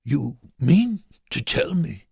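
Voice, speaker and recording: A man puts on a pompous male aristocrat in a comic audio story, desperate and easily outraged. His gravelly, creaky voice draws words out slowly and swings wildly in pitch. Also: sing-song, no room sound, clean studio sound, heavily acted. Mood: anxious disbelief. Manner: melodramatic, indignant, exclamatory.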